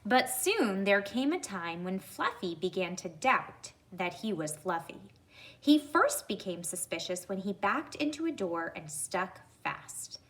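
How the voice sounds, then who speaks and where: A woman reads a picture book to young children. She takes her time, with a mid-range voice and strongly varied intonation, in a deliberate, instructive style. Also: medium pitch, very animated, fairly bright tone. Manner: deliberate, addressing young listeners. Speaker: read-aloud storyteller. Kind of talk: expressive children's story reading with questions.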